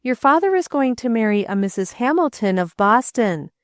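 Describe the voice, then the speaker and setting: A woman voicing a graying, plain-spoken aunt in a storybook, speaking in a measured and reluctant way. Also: on the high side, lively intonation, no room sound, clean studio sound, voice pushed up, natural voice. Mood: gentle. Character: graying aunt, tactful but grim.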